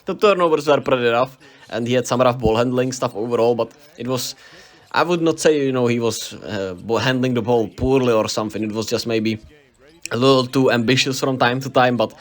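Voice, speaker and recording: A young man with a Czech accent talks through a basketball recap in a loose, unscripted way. He sounds eager and rambles, stumbling and restarting when names trip him up. His voice sits at an ordinary middle pitch for a man. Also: a little fast. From Czech Republic. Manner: enthusiastic, rambling, self-correcting on names. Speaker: basketball vlogger. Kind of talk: casual unscripted sports recap.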